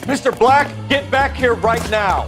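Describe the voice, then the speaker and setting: An actor playing a stern, no-nonsense 1940s police detective in a video game, speaking in a clipped, formal, forceful way. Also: male voice, very high-pitched, unhurried, music in the background. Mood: commanding. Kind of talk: dramatic video game acting.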